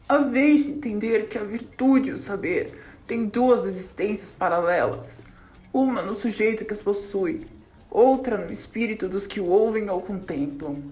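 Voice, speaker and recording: A woman's voice: medium pitch, normal speed, a little mellow, lightly acted. A young Brazilian woman playing a solemn, learned 108-year-old male monk who teaches his doctrine in a radio drama. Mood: solemn, didactic. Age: teenager or young adult. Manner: solemn, didactic, declaiming. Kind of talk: student radio drama of literary classic.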